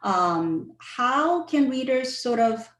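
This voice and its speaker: A woman hosting an online talk, moderating questions with soft hedging fillers. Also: fairly high pitch, sing-song, slow pace, echoey room. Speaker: host, moderator.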